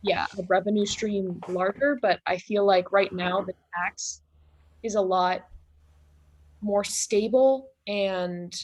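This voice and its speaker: A woman's voice: medium pitch, steady tone, slow pace, darkish tone. A woman student speaks over a video call in a class role-play as an economist. She sounds earnest and agreeable. She pads her points with frequent fillers.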